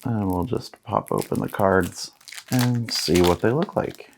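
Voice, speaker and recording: A man's voice: on the low side, unhurried, vocal fry, background noise. A man in his thirties giving laid-back, casual unboxing commentary as a host, musing aloud and reading out bits as he goes. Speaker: board game unboxing host.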